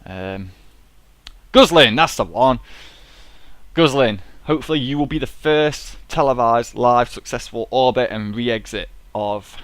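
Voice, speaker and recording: Man at his desk, stuffy from a cold, loose rambling sweary delivery, medium pitch, dry close mic. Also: expressive, measured pace, a bit bright, dry recording. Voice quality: swears freely, rambling and chatty. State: sniffly with a cold. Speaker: gameplay commentator. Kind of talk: casual let's-play commentary.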